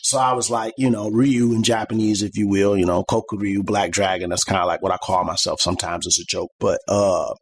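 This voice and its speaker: A man's voice: on the low side, steady tone, brisk, hardly any pauses, a little hiss or hum. A middle-aged man talking as a guest on a podcast, explaining his ideas in a loose, rambling way full of "like" and "you know". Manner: rambling and conversational, many "like" and "you know" fillers. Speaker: podcast guest, comic artist. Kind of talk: casual interview chat.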